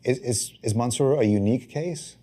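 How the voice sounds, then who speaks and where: A man's voice: medium pitch, normal speed, dark tone. A male interviewer asking direct questions.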